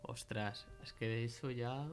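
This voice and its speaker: A young man with an accent from Spain, speaking as a podcast guest. His delivery is fairly even in pitch and colloquial, with hesitant repetitions, over faint music. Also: measured pace. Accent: Spain Spanish. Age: young adult. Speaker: podcast guest, film producer. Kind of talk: informal testimony in conversation.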